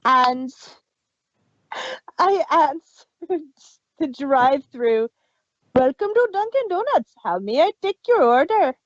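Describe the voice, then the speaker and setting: A woman's voice: sits high, very animated, slow pace, dry recording. A woman hosting a show, chatting casually and telling anecdotes on a dull, lo-fi recording. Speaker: host of an audio drama review.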